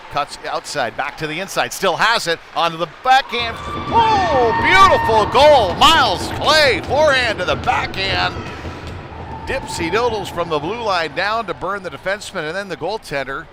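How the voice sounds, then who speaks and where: A man's voice: sits high, very animated, normal speed. A man doing live play-by-play commentary of an ice hockey game in a folksy, informal way. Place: indoor ice rink, live stream. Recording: loud rink noise behind the voice. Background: loud arena ambience, puck and board slams.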